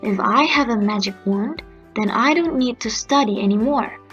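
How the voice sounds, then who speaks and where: A woman's voice: fairly high pitch, normal speed, fairly bright tone, natural voice. A woman voicing a lazy, envious young female fairy in a storybook tale. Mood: envious, eager. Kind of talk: storybook character voice. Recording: muffled narrow-band audio under music.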